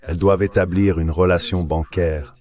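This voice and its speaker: A man's voice: low-pitched, fairly even intonation, normal speed, darkish tone, natural voice. A man delivering an explanatory voice-over translation for an interview guest. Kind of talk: dubbed interview commentary, explanatory.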